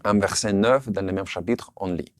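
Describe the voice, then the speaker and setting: A man who speaks French, his language, giving an earnest, measured sermon. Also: low-pitched. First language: Russian. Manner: earnest, measured, slight restarts on words. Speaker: preacher.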